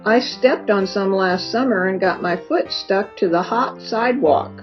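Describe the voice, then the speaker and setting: A woman voicing young pelicans in animated storybook dialogue. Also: mid-range voice, a little slow, close to an everyday voice. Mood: chatty. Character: young pelicans chatting and warning each other. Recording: dull lo-fi recording with music behind.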